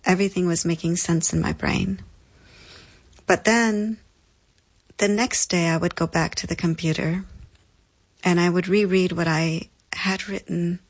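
A woman speaks as an interview guest, telling her own story in a slightly low voice. Her delivery is reflective and deliberate, leaning on repetition for emphasis. The sound is close and dry. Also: steady tone, no room sound, poor audio.

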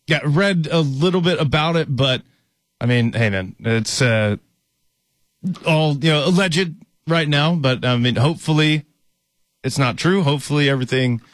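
Casting: male co-host, relaxed sports chat, slightly higher voice, dry close sound. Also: normal speed, no room sound. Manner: relaxed, easygoing, reads chat questions aloud. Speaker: co-host reading viewer comments.

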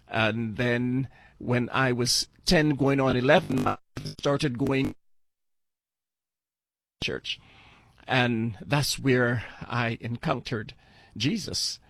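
An older man from Barbados, shares his life story as a guest. His voice sits at a middle pitch in a dry recording. He is earnest and deliberate, returning to phrases to stress them. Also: fairly bright tone, no room sound. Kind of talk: personal testimony storytelling.

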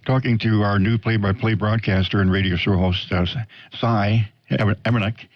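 Dull, dry audio of a deep-voiced American man hosting a radio interview. He chats casually and rambles in a folksy, halting way. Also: steady tone, dry recording. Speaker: radio host.

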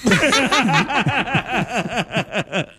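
A woman's voice: a little high, animated, drawn-out words, over a noisy crowd. A woman with a Mexican accent co-hosting a casual radio show, playful, giggly and slangy in her banter. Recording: loud music behind the voice. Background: loud music.

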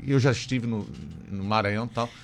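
A man's voice: fairly low pitch, steady tone, quick pace, a little hiss or hum. A man from Northeastern Brazil with a regional accent, hosting a casual radio interview in an effusive, conversational way full of tag questions. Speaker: radio host.

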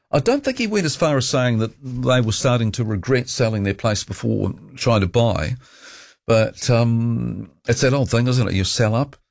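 A man's voice: fairly low pitch, no echo, clean studio sound. A man with a New Zealand accent hosting a talkback radio show, chatty and rambling as he takes calls. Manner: rambling and chatty, restarts often. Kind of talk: casual talkback chat. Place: radio studio.

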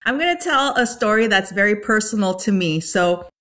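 A woman's voice: medium pitch, animated, moderate pace, a bit bright. A woman from the Dominican Republic telling a personal story as an interview guest. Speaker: nonprofit worker, interview guest.

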